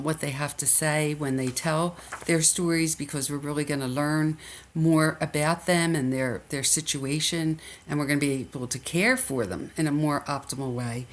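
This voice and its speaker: Low-voiced older woman, Philly accent. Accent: Philadelphia. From Philadelphia area, USA. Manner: reflective, many restarts and fillers. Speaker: guest, nurse educator. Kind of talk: interview conversation.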